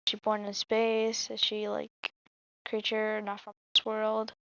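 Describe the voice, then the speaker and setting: A woman's voice: medium pitch, monotone, takes it slowly, a bit bright, clean tone, no room sound. A woman streamer chatting casually while playing a game, giggly and playful. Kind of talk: casual gameplay stream chat. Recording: game music in background.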